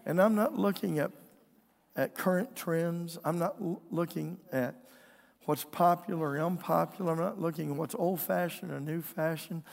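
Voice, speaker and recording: Guy preaching casual sermon, gravelly worn-out voice, earnest, asks for amens, long church reverb. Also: a little high. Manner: folksy, earnest, asks the congregation questions. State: strained, weakened voice with throat clearing. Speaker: pastor preaching to his church. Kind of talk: conversational sermon with calls for amen.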